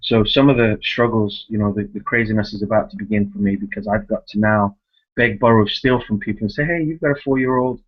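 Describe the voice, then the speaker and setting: A man's voice: fairly low pitch, fairly even intonation, brisk. A man hosting a conversational interview on a conference call, talking emphatically and often checking for agreement. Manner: emphatic, repeats words and seeks agreement with tag questions. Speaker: host, youth soccer club director. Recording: muffled, lo-fi conference call line.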